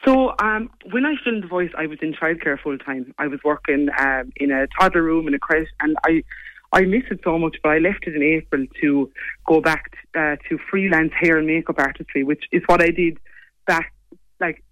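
A young Irish woman with a low voice, chatting quickly as a singer guest in a casual interview. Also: no room sound, dull audio. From Ireland. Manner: quick, chatty, lots of "like" and "you know".

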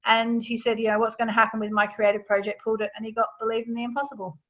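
A woman's voice: fairly high pitch, level tone, very fast, muffled recording. A woman speaking as an interview guest, explaining things in a casual, informal, conversational way. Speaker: astrology author, interview guest.

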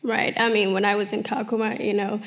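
A woman's voice: mid-range voice, flat delivery, a little fast. A young woman from South Sudan, answering interview questions in an earnest, reflective way, often saying "you know". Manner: earnest, uses many "you know" fillers. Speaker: interview guest, model.

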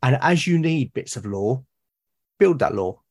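A man's voice: mid-range voice, expressive, a little slow, darkish tone, husky. A British man, a podcast guest, talking in a reflective, casual way and often restarting and repeating words mid-sentence. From Britain.

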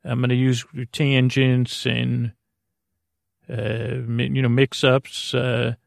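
A man's voice: mid-range voice, completely flat delivery, slow pace, creaky, no room sound, studio quality. A man hosting a sleep podcast in a rambling monologue, hesitant and meandering, often trailing off into tangents. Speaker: podcast host.

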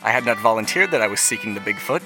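An actor playing an earnest younger brother narrating a letter in a measured, reflective, articulate way for an audio drama. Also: male voice, on the low side, animated, music in the background, rough recording. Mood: confessional, wry.

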